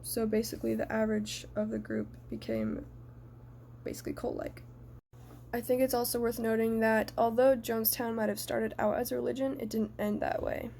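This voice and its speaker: Young adult woman, slightly high voice, mellow, measured personal reflection, faint background noise. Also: lively intonation, gravelly. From United States. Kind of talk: prepared personal reflection, presented aloud.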